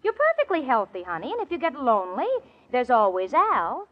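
High, lively, wry roommate. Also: female voice, heavily acted. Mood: exasperated. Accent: American. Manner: brisk, sarcastic. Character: sensible, wry young woman, exasperated roommate. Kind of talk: 1940s radio comedy acting. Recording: muffled noisy old radio recording.

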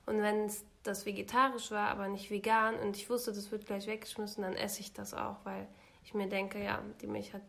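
A woman's voice: mid-range voice, level tone, fairly quick, gravelly, few pauses, a bit bright. A young woman with a cold, co-hosting a podcast and chatting at length with many restarts. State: has a cold. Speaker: podcast co-host. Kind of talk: casual chat.

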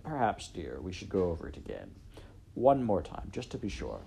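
A man's voice: low voice, huge pitch swings, normal speed, creaky, slight background noise, exaggerated voice. Man doing a sly, pompous storybook rat. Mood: smooth, condescending. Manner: pompous, elaborately polite, hushed. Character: sly, scheming male rat, suave and condescending. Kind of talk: storybook character voice in audiobook narration.

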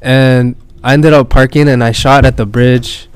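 A man's voice: medium pitch, fairly even intonation, clear, resonant tone, no room sound. A man guest on a casual podcast who talks in slang and stumbles over his words, saying he stutters. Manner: slangy, halting, says he stutters. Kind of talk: casual chat.

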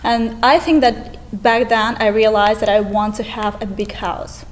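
A woman's voice: medium pitch, steady tone, slight background noise. Young Russian-accented woman, cheerful. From Eastern Europe. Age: 20s. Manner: cheerful, laughs often, brisk. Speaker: guest, real estate agent. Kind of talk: casual interview chat.

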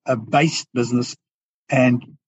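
A South African man speaking earnestly as a podcast guest, pausing and restarting as he thinks aloud. His voice is husky and sits in a middle register. The sound is dry and a little dull. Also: medium pitch, fairly even intonation, no echo. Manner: earnest, reflective, halting with restarts. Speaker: guest business owner. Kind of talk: podcast interview.

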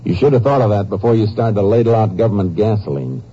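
Through a dull, faintly noisy old radio recording comes a stern male lawman played by an actor. His low voice presses with blunt, shrewd questions. He has the firm authority of a Texas Ranger in a 1950s crime drama. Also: expressive, quick pace, warm tone, lightly acted. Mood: stern, unyielding.

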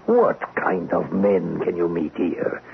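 An actor playing a kindly older cafe-owning uncle in a radio drama, with a halting, emphatic, paternal way of talking. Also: male voice, deep voice, big pitch swings, creaky, heavily acted. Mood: tender, proud. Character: kindly, fussing older uncle who runs a neighborhood cafe. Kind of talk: vintage radio drama acting. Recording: muffled, narrowband noisy old radio recording.

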